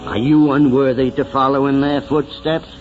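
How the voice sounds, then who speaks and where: An actor playing an elderly, sentimental small-town mayor with a solemn, oratorical manner, in 1940s radio drama style. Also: male voice, medium pitch, little pitch movement, soft background music, close to an everyday voice. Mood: solemn, stirring. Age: older. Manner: oratorical, solemn, drawn-out phrasing. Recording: muffled, hissy 1940s radio recording.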